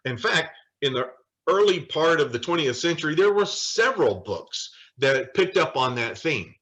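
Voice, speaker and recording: Bright-voiced man teaching informally. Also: fairly high pitch, very animated, normal speed, dull audio. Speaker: Bible study leader. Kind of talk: informal lecture with personal anecdotes. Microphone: video call.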